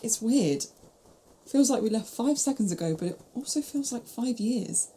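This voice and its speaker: A woman's voice: fairly high pitch, animated, moderate pace, warm tone, a little hiss or hum, lightly acted. A young woman playing a curious, sceptical time-travelling companion in a fan-made audio drama, questioning and wry. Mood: curious, puzzled.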